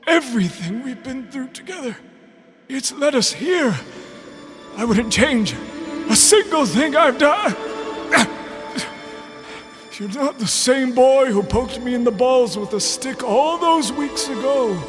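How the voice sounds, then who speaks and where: A man voicing a small, cranky but devoted wizard in a comedic fantasy audio drama, melodramatic and halting. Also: very high voice, lively intonation, exaggerated voice. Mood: dying, tender. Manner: halting, melodramatic, fondly grumpy. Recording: long hall reverb with music behind.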